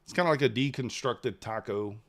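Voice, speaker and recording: A man's voice: medium pitch, a little fast. A man, hosting a live trading stream in casual chat, rambling and replying to chat. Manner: relaxed, rambling, reads chat aloud. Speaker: livestream trader host.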